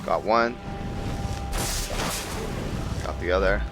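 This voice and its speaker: A man's voice: low-pitched, slow, music in the background. A man giving casual roleplay game commentary, rambling and thinking aloud to viewers as he plays. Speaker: gaming video host.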